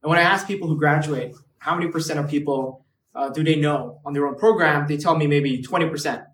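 A man's voice: a little high, steady tone, a little fast, fairly bright tone, recorded from a distance. A man in his twenties from Hong Kong, a guest chatting casually on a podcast with lots of "like" and "right". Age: 20s. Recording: roomy echo, away from the mic.

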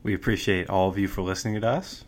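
Deep-voiced upbeat podcast host man. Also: fast. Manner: energetic, promotional, keeps things moving.

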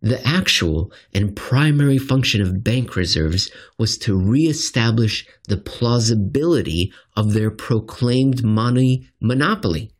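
A man narrating a written finance commentary in an expressive, scripted style with dramatic, deliberate phrasing. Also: mid-range voice.

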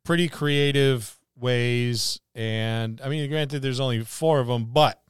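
A man co-hosts a casual gaming podcast in a smooth, clean voice. He muses and jokes as he goes. The sound is dry, with hardly any room on it. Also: medium pitch, steady tone, slow pace, dry recording. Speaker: podcast co-host. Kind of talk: casual tabletop gaming discussion.

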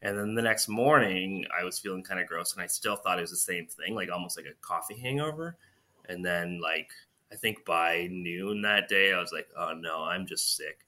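A man's voice: low-pitched, moderate pace, sharp tone. A young man co-hosting a casual podcast, congested from a recent illness, telling stories in a rambling way full of fillers. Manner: rambling, filler-heavy storytelling. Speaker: podcast co-host. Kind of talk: casual chat.

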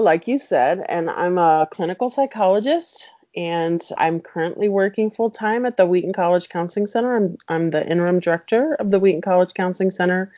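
A woman's voice: lowish voice, mellow, no room sound, muffled recording. A middle-aged woman, a clinical psychologist, chatting as a podcast guest in an easy, upbeat way. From Mexico City. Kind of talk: casual interview chat.

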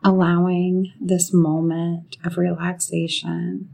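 Very slow, low-pitched woman guiding meditation. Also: flat delivery, gravelly, warm tone. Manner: soothing, unhurried, with long pauses between short phrases. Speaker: guided meditation leader. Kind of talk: guided meditation narration.

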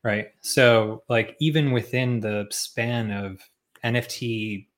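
A young man talking as a guest on a podcast, relaxed, with lots of ums and likes and frequent chuckles. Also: medium pitch, takes it slowly. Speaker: podcast guest, tech founder. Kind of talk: casual interview chat.

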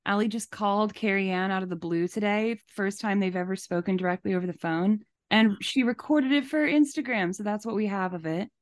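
A woman's voice: medium pitch, fairly quick, hardly any pauses, no echo. A woman co-hosting a podcast, giving casual and disapproving commentary. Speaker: podcast host.

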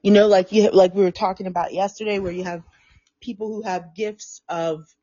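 A woman's voice: medium pitch, fairly even intonation, moderate pace, warm tone, dull audio. Froggy-voiced woman in a chatty solo talk. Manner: chatty, rambling, repeats and restarts phrases. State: hoarse, froggy throat. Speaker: podcast host. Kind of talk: casual solo talk on mindset and manifestation.

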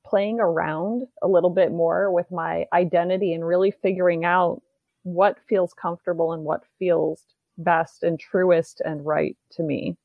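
Woman in her 40s chatting as a podcast guest, lowish voice, kinda dull dry audio. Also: steady tone, unhurried, gravelly, no room sound. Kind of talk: casual interview chat.

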